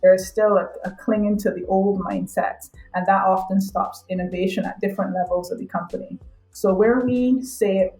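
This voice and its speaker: A woman's voice: mid-range voice, steady tone, moderate pace, dark tone, soft background music. A middle-aged woman from Barbados, explaining conversationally as an interview guest. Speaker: interview guest, academic and consultant. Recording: echoey room sound.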